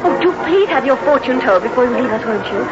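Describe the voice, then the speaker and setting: A woman's voice: high-pitched, animated, fast. An eager, genteel village lady, effusive and coaxing. The 1960s radio drama recording is muffled and heavily noisy.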